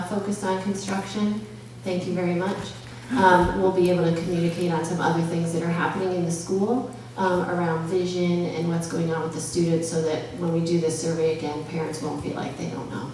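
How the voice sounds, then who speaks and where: A woman speaks as a school principal at a public meeting, explaining informally. Her voice sits a little low. She is picked up from a distance in a heavily reverberant room with faint noise. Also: a little mellow. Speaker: elementary school principal presenting. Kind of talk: public meeting presentation with questions. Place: large echoey meeting room.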